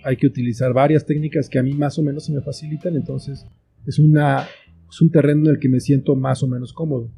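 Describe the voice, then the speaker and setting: A man with a very mellow, dark voice answers interview questions in a conversational way. He sits at an average male pitch and builds his thoughts reflectively, phrase by phrase. Faint music plays behind him. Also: flat delivery, fast talker, vocal fry, slightly rough. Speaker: guest, children's book author and screenwriter. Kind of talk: conversational interview, explaining with examples.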